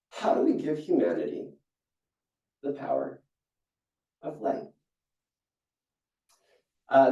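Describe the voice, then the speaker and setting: A young man from Singapore giving a keynote talk, telling stories in a lively way with many restarts. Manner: storytelling, animated with jumps in pitch and frequent restarts. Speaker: keynote speaker. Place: conference hall. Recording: echoey hall, distant and dull.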